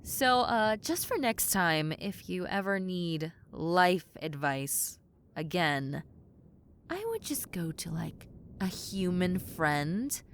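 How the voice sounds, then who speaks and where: Exasperated tomboy succubus with lively, swooping intonation, woman acting. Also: lowish voice, slight background noise. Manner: casual, wry, flustered, hesitant stammering. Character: centuries-old tomboy succubus, exasperated but kind demon woman. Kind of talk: audio roleplay acting, spoken to the listener.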